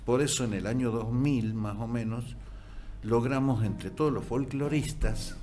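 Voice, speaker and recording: A middle-aged man with an Argentine Spanish accent, a writer and musician telling history in a relaxed chat, with hesitant restarts and animated bursts. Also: mid-range voice, unhurried. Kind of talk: conversational storytelling. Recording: music playing behind.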